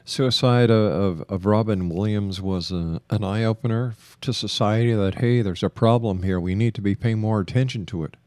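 A man hosting a radio interview, framing questions haltingly and repeating words as he goes. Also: lowish voice, a little mellow, dry recording. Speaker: radio show host.

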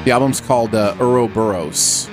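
A man co-hosting a casual music review chat, animated and joking, laughing often. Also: lowish voice, normal speed. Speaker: podcast co-host. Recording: music playing in background.

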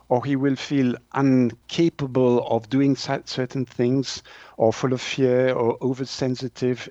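Halting man with a French-speaking Swiss accent. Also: mid-range voice, a little mellow, no echo. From Switzerland. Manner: halting, searching for words, self-correcting. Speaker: guest, naturopath and author. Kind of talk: explanatory interview answers. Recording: muffled, dull lo-fi audio.

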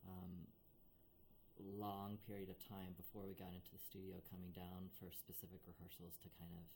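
A woman with a very deep, mellow voice talks about her band's record in a relaxed way, with "uh" and "um" along the way. A faint hiss runs behind her. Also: normal speed. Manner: relaxed, with fillers like "uh" and "um". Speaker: musician in a duo. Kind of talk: casual interview chat.

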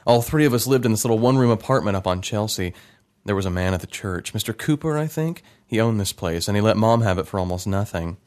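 A man voicing a grown son, thoughtful and wistful, recalling his childhood in a drama. His storytelling is unhurried and conversational. It sounds like a plain, everyday male voice. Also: lowish voice, animated, darkish tone, lightly acted. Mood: reflective. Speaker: narrator. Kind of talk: reflective dramatic narration.

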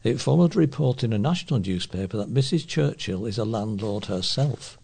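A man's voice: on the low side, lively intonation, fairly quick, creaky, warm tone, slightly rough. A man working as a volunteer newsreader, reading local news reports and quotations aloud. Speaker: volunteer newspaper reader.

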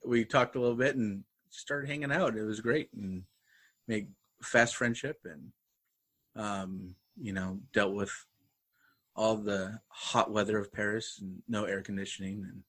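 Bright-voiced young man, chatty co-host. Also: on the low side, fairly even intonation, a little slow. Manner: chatty, laughing, filler-heavy. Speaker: podcast co-host. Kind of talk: casual chat.

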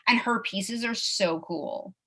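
A woman in her thirties hosts a relaxed chat, filling her talk with "like" and quick asides. Her voice is bright and forward. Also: mid-range voice, breathy edge. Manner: chatty, peppered with "like", quick asides and laughs. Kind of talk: casual chat.

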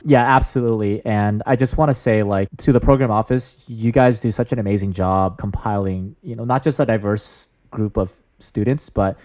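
A man in his thirties with a booming voice, talking earnestly and enthusiastically about student leadership in an interview. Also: moderate pace, hardly any pauses, a little mellow. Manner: earnest, upbeat, enthusiastic with frequent fillers. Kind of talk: interview answers.

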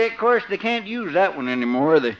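An actor playing a folksy, teasing American town marshal in a 1950s radio comedy, amused and skeptical in manner. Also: male voice, high voice, sing-song, normal speed, a loud crowd. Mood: chuckling. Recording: muffled old radio recording.

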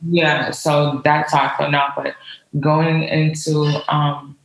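A woman's voice: low voice, flat delivery, slow. A woman with an American accent talking conversationally as an interview guest, telling personal stories in an informal, emphatic way. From United States. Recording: roomy, echoey sound.

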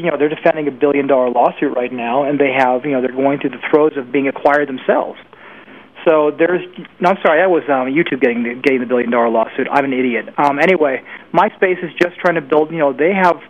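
A middle-aged man speaking as an industry pundit in a conversational commentary, halting and often correcting himself. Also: a little high. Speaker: guest, internet industry pundit. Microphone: telephone call. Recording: tinny phone line, faint noise.

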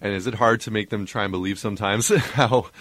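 A man's voice: fairly low pitch, steady tone, fairly quick, fairly bright tone, no echo. A man, a sports interviewer asking long, wordy questions in a conversational way.

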